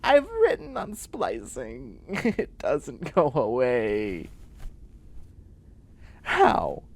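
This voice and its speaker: Man acting a flustered, sardonic catboy, swooping pitch. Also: wildly swooping pitch, very slow, full character voice. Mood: exasperated. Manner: stammering, exasperated, sarcastic. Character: flustered, sardonic young man sprouting cat ears and tail. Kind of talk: comedic sci-fi audio roleplay.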